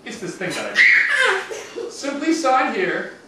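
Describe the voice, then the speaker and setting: A man's voice: extremely high pitch, wildly swooping pitch, takes it slowly, full character voice. A man voicing a cruel, scheming, pompous villain, the king's second-in-command, in a children's puppet show. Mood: oily, deferential. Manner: pompous, sneering, theatrical. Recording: echoey room, distant mic, background noise.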